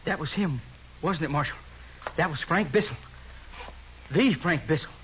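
An actor playing an eager, stammering small-town barber in a 1950s radio drama. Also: male voice, on the high side, lively intonation, normal speed, slight background noise, natural voice. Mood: excited. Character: excitable, fawning male town barber. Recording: dull lo-fi 1950s radio broadcast.

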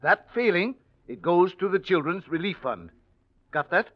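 Stern old uncle, vintage radio drama. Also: male voice, high-pitched, expressive. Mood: firm, businesslike. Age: older. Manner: imperious, deliberate. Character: stern, wealthy, controlling old uncle, coolly vindictive. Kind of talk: 1940s radio acting. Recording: dull vintage radio recording.

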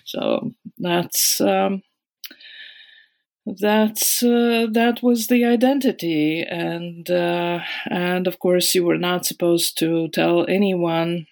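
Slow gravelly Latvian-accented woman. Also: fairly low pitch, fairly even intonation. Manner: hesitant, many fillers and restarts, laughs softly. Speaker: interview guest. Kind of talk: conversational recollection.

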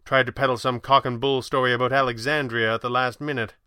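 A man playing a composed, erudite masked crimefighter in an audio drama, speaking in a measured, articulate way with dry wit. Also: mid-range voice, flat delivery, bright, forceful tone, clear, resonant tone, no echo, close to an everyday voice. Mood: measured, serious. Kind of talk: audio drama character voice in narrated reading.

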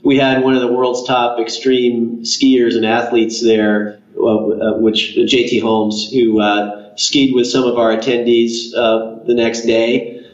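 A man's voice: medium pitch, little pitch movement, slow pace. A man, a company co-founder, answering as a guest in a casual interview, hesitating often. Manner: hesitant, many uh and um. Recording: echoey, dull remote line.

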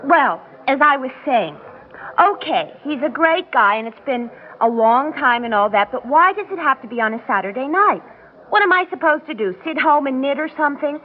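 High and chatty, a pushy young office girl in a vintage radio drama. She rattles on in a gossipy, matter-of-fact way. The recording is thin and tinny, like a telephone. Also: animated, moderate pace. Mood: complaining, gossipy. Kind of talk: classic radio drama acting.

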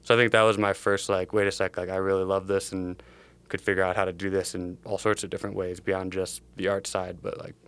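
Young man, low flat smooth voice. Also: brisk. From Chicago area, USA. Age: young adult. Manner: thoughtful, meandering phrasing. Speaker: startup co-founder, guest. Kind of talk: casual interview answers.

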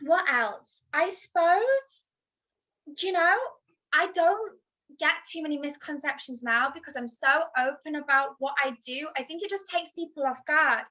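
A woman with a high-pitched voice, a guest in a casual interview, who speaks bluntly and laughs often between thoughts. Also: a little slow, sharp tone, poor audio. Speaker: interview guest, adult performer.

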